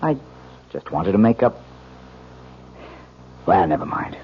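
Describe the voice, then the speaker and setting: A very low, lively male voice as a smooth young American husband on honeymoon. He coaxes casually and affectionately in 1970s radio drama style, on a dull recording with faint noise. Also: slow pace, full character voice. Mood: placating.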